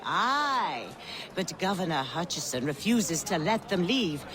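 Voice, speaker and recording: A man's voice: high voice, sing-song, unhurried, a crowd in the background. An actor playing an exasperated male colonial rebel in a video game, wry and emphatic with his comrades. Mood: wry, resentful. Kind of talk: video game cutscene acting. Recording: game music behind the voice.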